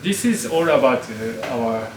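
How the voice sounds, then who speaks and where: A man hosting an interview in English, speaking haltingly with many pauses and "uh"s. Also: medium pitch, animated. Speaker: host. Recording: echoey room, distant mic, background noise.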